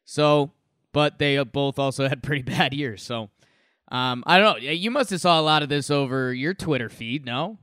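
A man chatting casually about football on a podcast, meandering and filler-heavy. His voice is smooth and clear at an average pitch. The sound is close and dry, with no room echo. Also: normal speed, fairly bright tone. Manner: rambling, with fillers and laughs. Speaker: podcast co-host. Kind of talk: casual sports chat.

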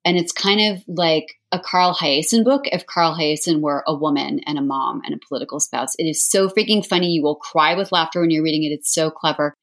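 Lady guest on a book chat, kinda low voice, gushy and emphatic, casual. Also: fairly quick, hardly any pauses. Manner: effusive, emphatic. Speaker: guest author recommending books.

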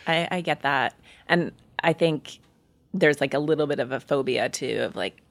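A woman with a low voice conducts a casual interview. She feels her way into questions with tentative restarts and rambling phrasing. The sound is dry, with very little room around her. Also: normal speed, no echo.

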